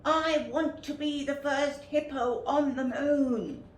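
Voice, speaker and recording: A woman's voice: sits high, fairly even intonation, takes it slowly, voice pushed up. A woman voicing a bossy female hippo with big dreams, declaiming grandly in a storybook reading. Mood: determined. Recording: roomy, distant, noisy recording.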